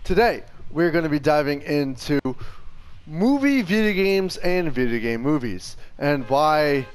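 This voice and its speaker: A man's voice: on the high side, lively intonation, measured pace, clean tone. A young man co-hosting a gaming show, chatting casually and giving emphatic opinions over gameplay.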